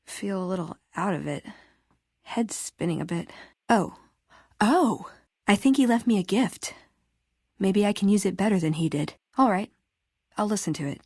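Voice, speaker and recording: A woman's voice: medium pitch, lively intonation, a little mellow, breathy edge, no room sound, studio quality. An actress playing a witty, eager young woman scribe and scavenger in a video game, with a wry, chatty manner. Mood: subdued, wistful. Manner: wry, chatty, quick to joke. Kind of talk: video game character dialogue.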